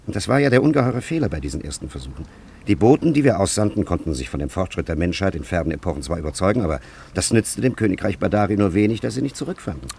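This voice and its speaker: A man's voice: sits low, lively intonation, fast talker, vocal fry, a little mellow, slightly rough, exaggerated voice. An actor playing a haughty time traveller from an ancient kingdom in a German radio play, condescending and pompous in his declamatory delivery. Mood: proud, lecturing.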